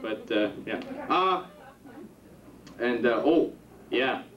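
A young man doing a stand-up comedy set in a club, with a rambling, halting delivery full of restarts. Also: mid-range voice, animated, distant mic, crowd noise. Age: young adult. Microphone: handheld stage mic on a stand. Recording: roomy, dull 1991 camcorder tape from the audience. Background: audience laughter.

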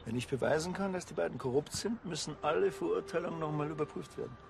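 An actor playing a sly, unflappable police officer with a Bavarian accent and a deadpan manner in a TV drama. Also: male voice, on the high side, fast, gravelly, a little hiss or hum. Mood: dry persuasion.

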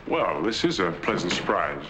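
A lonely, ailing middle-aged man in a 1940s drama. He speaks quietly and wearily in a lowish voice. Faint hiss sits under the old soundtrack. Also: sing-song, normal speed. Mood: wary. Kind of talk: 1940s film acting.

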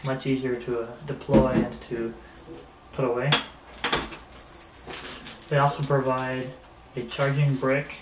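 A middle-aged man talks casually through a product review in an unhurried, mild manner. His pitch stays fairly flat. He is picked up from across a small, echoey room, with clear noise in the recording. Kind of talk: casual unboxing and gear review, talking to camera. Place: small indoor room at a table. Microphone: camera a few metres away. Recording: roomy, distant camera mic with noticeable noise.

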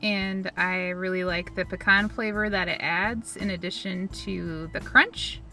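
Bright-voiced young woman in a car. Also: on the low side, steady tone, unhurried, soft background music. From Minnesota. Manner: giggly, upbeat, chatty. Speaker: food vlogger co-host. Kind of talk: casual food review chat. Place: parked car. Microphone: camera on dashboard.

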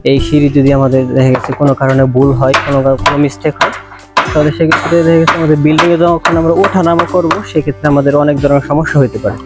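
A Bangladeshi-accented man explains things in an informal, instructional way. His voice sits a little high and stays very even in tone. He hesitates and circles back over his phrases, while guitar music plays clearly behind him. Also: quick pace. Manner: repetitive, hesitant explaining with frequent filler phrases. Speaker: civil engineer presenting a how-to video.

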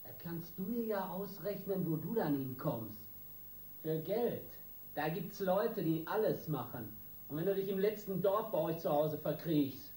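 An actor playing a hot-tempered small-time gang boss in a radio crime drama, coarse and threatening in street slang. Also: male voice, on the high side, expressive, measured pace, dark tone. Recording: roomy, distant, noisy radio drama.

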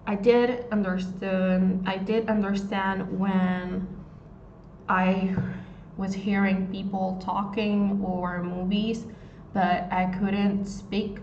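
Slow-talking Argentine woman tutor. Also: medium pitch. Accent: Argentine Spanish. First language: Spanish. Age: 20s. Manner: unhurried, searching for words with many ums and restarts. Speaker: Spanish tutor. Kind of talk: live-stream lesson answering a question. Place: small room indoors. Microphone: phone at arm's length. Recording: echoey, dull phone audio with faint noise.

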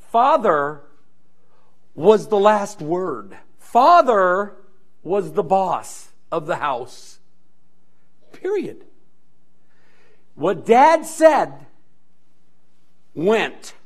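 Middle-aged male pastor, high-pitched, animated preacher who repeats his points for effect. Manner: animated, strolling the stage and gesturing; emphatic, repeating key phrases. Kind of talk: sermon. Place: church stage, indoors. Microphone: handheld mic.

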